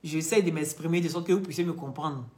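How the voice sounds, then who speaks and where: A woman's voice: deep voice, fast talker, darkish tone. Emphatic woman, echoey room. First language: not French. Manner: emphatic, lecturing, addresses viewers directly. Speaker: online vlogger and beauty product seller. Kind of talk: live social media chat and product pitch.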